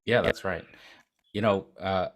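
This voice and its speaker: A man's voice: sits low, fairly even intonation, unhurried. A man from Japan who speaks with an American accent, telling his story as an interview guest in a rambling way with many fillers and restarts. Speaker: guest, video agency founder.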